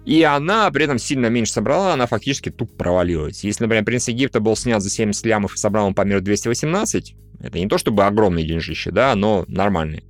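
A man talks films casually as a podcast co-host. His voice sits fairly low and he rattles along quickly, wry and slangy, with music lightly underneath. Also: animated. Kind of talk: casual informed film discussion.

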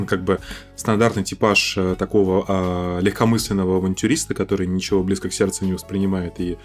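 A man chats about films as a podcast co-host. He has a fairly low voice and speaks in quick bursts, hesitating and hunting for the right word. Faint music runs behind him. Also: little pitch movement. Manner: hesitant, searching for words, qualifying. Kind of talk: casual film discussion.

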